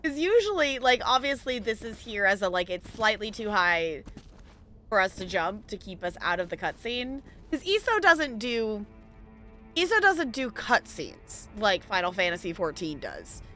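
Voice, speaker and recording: A woman chats playfully as she streams a game. Her voice is bright and very lively in pitch, and her style is casual. Faint music plays underneath. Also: moderate pace. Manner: playful, chatty, giggly. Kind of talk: casual live gameplay commentary.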